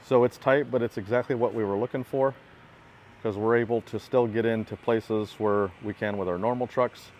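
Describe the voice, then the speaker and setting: Lower-voiced man touring a work truck outdoors. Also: steady tone, moderate pace, darkish tone, a little hiss or hum. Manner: plainspoken, matter-of-fact, pointing things out. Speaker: equipment builder giving a walkaround. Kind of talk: casual product walkthrough. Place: outdoors by a truck in a parking lot.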